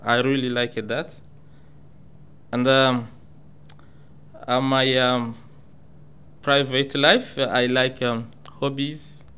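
A man who is a migrant learning English speaks in halting, simple sentences full of fillers about his new life. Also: medium pitch, level tone, bright, forceful tone, clear, resonant tone. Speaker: migrant English student on community radio. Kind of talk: personal talk.

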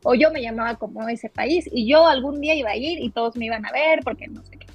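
A woman's voice: fairly high pitch, quick pace, dull audio. A young Mexican woman with a Mexican accent, a podcast guest chatting casually and laughing often as she tells her story.

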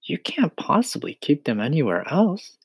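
A small, polite boy with a raised, lively voice, put on by a man reading a storybook. He is wide-eyed and earnest, eagerly curious about everything. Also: fairly high pitch, normal speed, creaky, slightly rough, dry recording, pitched above the performer's own voice, exaggerated voice. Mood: puzzled disbelief.

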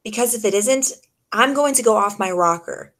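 A woman's voice: fairly high pitch, moderate pace, fairly bright tone, natural voice. A woman playing a wry, skeptical female private detective in the style of a 1940s radio drama. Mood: urgent, wry. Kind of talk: 1940s old-time radio detective acting. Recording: music behind the voice.

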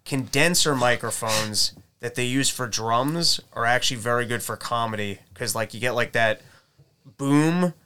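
A man's voice: normal speed, pushed and bright, clean tone. A man in casual chat about recording gear, rambling and correcting himself as he goes. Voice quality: says his voice is high-pitched. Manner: rambling, self-correcting, technical. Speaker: comedian recording his shows.

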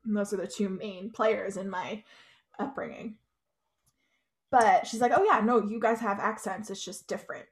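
A woman's voice: mid-range voice, normal speed. A young adult woman co-hosting a podcast, talking casually with plenty of "like" and "you know". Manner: chatty, lots of "like" and "you know". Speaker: podcast co-host. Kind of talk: casual chat.

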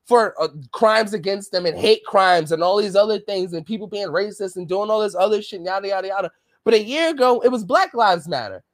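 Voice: medium pitch, fairly quick, no echo. Passionate ranting podcast co-host, dry sound. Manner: passionate, ranting, swears often. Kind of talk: casual political chat.

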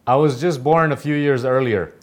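A middle-aged man hosting an interview, asking questions in a halting way, often repeating his words. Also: mid-range voice. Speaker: host.

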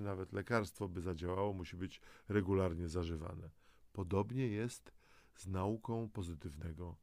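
A man's voice: on the low side, vocal fry, darkish tone, no echo. A man presenting a self-help audio guide, speaking straight to the listener in an encouraging, motivational style. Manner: encouraging, addresses the listener directly. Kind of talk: motivational scripted narration.

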